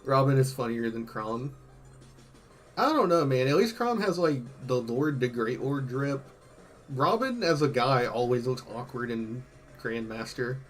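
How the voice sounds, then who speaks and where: A man doing casual, slangy live-stream commentary, thinking out loud, his voice a bit worn from hours of talking. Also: medium pitch, expressive, measured pace, soft background music. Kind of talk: casual live-stream commentary, ranking game tier lists.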